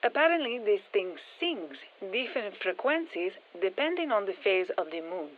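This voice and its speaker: An actress playing a brisk, sharp-minded scientist in a video game, matter-of-fact and technical, with a Spanish accent and a processed voice. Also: female voice, medium pitch, big pitch swings, phone-quality sound. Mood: curious. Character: brisk, sharp-minded female scientist studying an alien parasite. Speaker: scientist and engineer briefing operators. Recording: thin band-limited radio, some long hall reverb.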